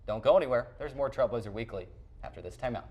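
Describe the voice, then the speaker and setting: A man's voice: medium pitch, quick pace, darkish tone. A man hosting a sports program, presenting and interviewing in a broadcaster's style. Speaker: sports show host, play-by-play announcer.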